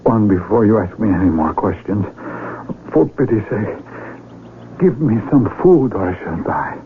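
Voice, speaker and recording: Contrite starving outsider, lowish voice, halting, desperate, radio drama, muffled hissy recording. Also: male voice, lively intonation. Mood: contrite, pleading.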